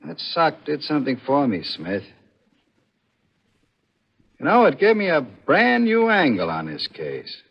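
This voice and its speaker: Tough, taunting male investigator, vintage radio thriller: sneering, clipped, very animated, muffled period sound. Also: a little high, a little slow, vocal fry, pauses often, breathy edge, full character voice. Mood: taunting, accusing. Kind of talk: 1940s radio thriller acting. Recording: dull vintage 1940s radio recording.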